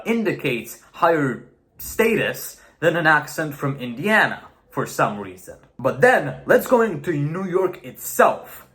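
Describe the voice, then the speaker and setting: A man's voice: a little high, a bit bright. A young man whose first language is Romanian, giving a blunt, earnest monologue to camera with some swearing for emphasis. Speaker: video essayist.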